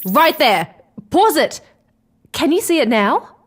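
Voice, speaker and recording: A woman playing an inquisitive, excitable space explorer in an audio drama, with a questioning, hesitant way of talking. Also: high-pitched, takes it slowly, heavily acted. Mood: urgent.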